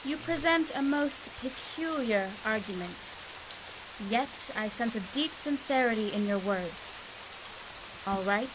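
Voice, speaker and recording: A woman's voice: a little high, animated, slow pace, noisy background. A woman playing a regal, dignified storm goddess in an audio drama, speaking in a formal, stately manner. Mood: considering, accepting. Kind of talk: scripted audio drama acting.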